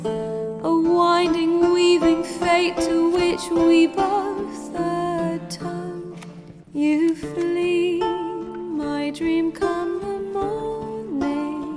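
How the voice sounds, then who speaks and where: Fantasy game bard: tender, lyrical, high singing voice, unhurried, with prominent lute-like accompaniment. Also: female voice, exaggerated voice. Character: young female bard singing a tender, yearning love ballad. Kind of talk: slow lyrical folk ballad singing. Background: lute or guitar music.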